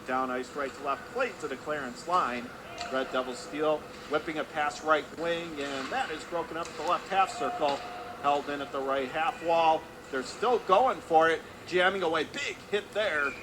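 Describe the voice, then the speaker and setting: A male radio play-by-play announcer with a fairly high voice calls live hockey. He speaks in clipped running phrases that rise with the action. Clear arena noise sits behind him. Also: normal speed. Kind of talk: live radio sports commentary. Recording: clear background noise of an ice rink.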